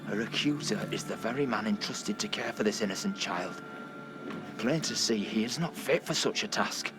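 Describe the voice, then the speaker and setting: An actor playing a zealous, accusing Puritan reverend in a period video game drama, with preachy, formal, archaic speech. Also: male voice, fairly low pitch, normal speed, over music, natural voice. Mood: solemn, insinuating.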